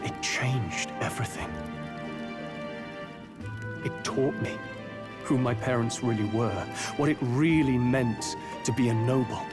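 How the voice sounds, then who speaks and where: Guy playing a young noble in a video game, earnest, reflective, kinda bitter, clear music under it. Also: medium pitch, unhurried, long pauses, lightly acted. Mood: grave, bitter. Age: young adult.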